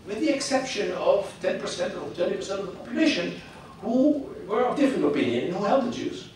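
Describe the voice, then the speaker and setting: Older man, guest speaker, halting opinionated delivery, miked from afar in a big echoey hall. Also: sits high, very animated, darkish tone, recorded from a distance, slight background noise. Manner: halting, many restarts, opinionated. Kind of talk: public talk with audience questions. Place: hall with audience.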